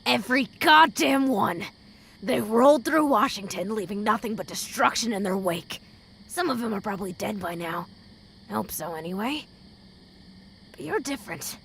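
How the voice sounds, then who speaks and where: A heavily creaky, world-weary ghoul woman, played by an actress in a post-apocalyptic audio drama. She is blunt and protective, giving earnest counsel like a seasoned drifter. There is a faint noise behind her. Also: medium pitch, expressive, moderate pace, a bit bright. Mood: grim, blunt. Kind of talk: fan audio drama acting.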